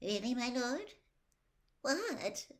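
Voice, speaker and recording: A woman's voice: on the high side, big pitch swings, extremely slow, voice pushed up, exaggerated voice. A woman voicing a fearful, sulky, servile male henchman in a storybook, panicky and stammering. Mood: terrified. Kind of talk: storybook character read aloud.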